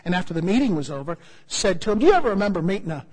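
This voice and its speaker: An American man preaching a sermon, telling a humorous story with lively asides to his congregation. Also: high-pitched, very fast, slightly rough, long reverb tail, poor audio. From United States.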